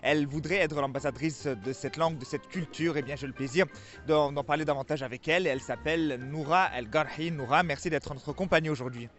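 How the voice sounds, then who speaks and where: A man's voice: on the high side, brisk, fairly bright tone. Friendly male TV host, lo-fi. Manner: friendly, informal, addresses guest as tu. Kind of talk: interviewing a guest. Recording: dull lo-fi TV audio over music.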